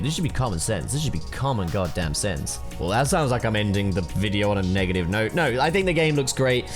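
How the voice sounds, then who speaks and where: Male video host, mid-pitched and very animated, chatty gameplay commentary over music. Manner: informal and chatty. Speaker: YouTube host.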